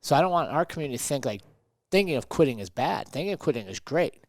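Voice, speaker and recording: A man's voice: on the high side, animated, quick pace, no room sound, studio quality. A man co-hosting a motivational podcast, talking emphatically and often checking in with rhetorical questions. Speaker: podcast co-host.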